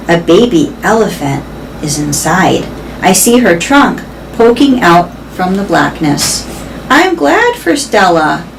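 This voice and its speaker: A woman reading a children's book aloud in a deliberate, expressive storytelling style. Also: medium pitch, creaky, poor audio. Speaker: read-aloud reader for elementary pupils. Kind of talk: children's book read-aloud, scripted narration. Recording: faint background noise.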